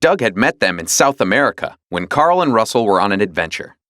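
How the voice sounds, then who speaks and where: Male storybook narrator for young readers: animated, dramatic, mid-pitched, dry studio-style sound. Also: brisk, dry recording. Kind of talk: scripted children's read-along narration.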